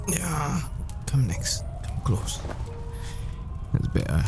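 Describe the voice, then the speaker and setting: A man playing a tender, desperate boyfriend, a secretive professor, in an intimate, halting, wistful audio roleplay monologue. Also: on the low side. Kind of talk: intimate ASMR-style dramatic roleplay monologue. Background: music.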